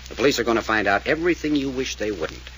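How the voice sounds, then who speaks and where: An actor playing a commanding, practical amateur detective in an old-time radio mystery serial, clipped, businesslike and insistent. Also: male voice, medium pitch, fast, a bit bright, slight background noise, close to an everyday voice, poor audio. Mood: pressing, firm.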